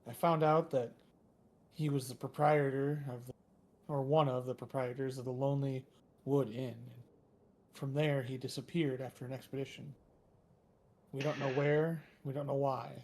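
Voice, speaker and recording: A man's voice: medium pitch, little pitch movement, normal speed, a little mellow, slight background noise. A man taking part in a tabletop roleplaying game, talking casually in character at the table.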